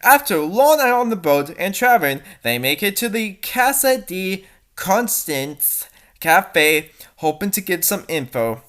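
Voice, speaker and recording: A woman's voice: medium pitch, big pitch swings, measured pace, a bit bright. A woman narrating bad fan fiction with theatrical, sarcastic delivery and commenting as she goes. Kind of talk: mocking dramatic fanfic reading.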